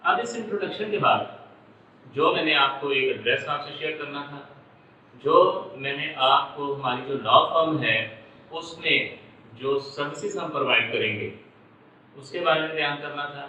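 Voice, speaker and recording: A Pakistani man lecturing like a lawyer, explaining deliberately and repeating and rephrasing key points for emphasis. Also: a little high, animated, pushed and bright, slight background noise. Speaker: lawyer giving law lectures. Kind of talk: explanatory lecture mixing Urdu and English. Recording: roomy, muffled, distant microphone.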